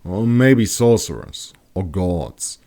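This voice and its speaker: A man's voice: sits low, lively intonation, slow, dark tone, exaggerated voice. A man playing a bold, curious expedition leader with an assured, explanatory manner in an audiobook. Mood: explaining.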